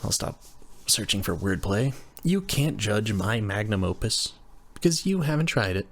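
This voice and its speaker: A man's voice: lowish voice, sing-song, normal speed. A man voicing a nerdy, flustered engineering student roommate in a casual roleplay audio, stammering and rambling in a self-deprecating way. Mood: animated, playful. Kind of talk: intimate roleplay audio, casual scripted chat.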